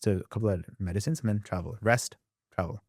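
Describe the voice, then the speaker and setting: Animated man with Australian-English accent. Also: lowish voice, no room sound, clean studio sound. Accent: mixed Australian and English. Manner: animated, quick storyteller who acts out past scenes. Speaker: podcast guest. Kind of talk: candid interview storytelling.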